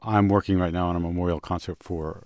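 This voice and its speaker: A man hosting a music show in casual conversation, speaking in a reflective, halting way with pauses mid-sentence. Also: low voice, fairly even intonation, darkish tone, dry recording. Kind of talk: casual radio music discussion. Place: dry studio.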